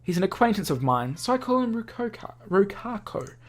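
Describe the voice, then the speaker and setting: A woman's voice: mid-range voice, very animated, brisk. A woman doing a casual let's play, reading visual novel text aloud and breaking in with chatty comments of her own. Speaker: gaming video host. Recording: game music behind the voice. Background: video game music.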